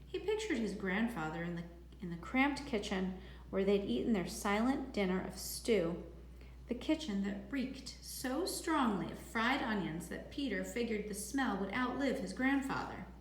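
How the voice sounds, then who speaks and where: A woman reading a children's novel aloud as a teacher would, in expressive storybook narration. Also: mid-range voice, moderate pace. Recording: echoey room, faint background noise.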